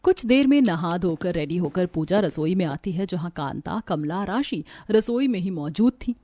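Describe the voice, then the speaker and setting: A woman's voice: fairly low pitch, lively intonation, normal speed, mellow, no room sound. A woman reading scripted narration for a cartoon family story.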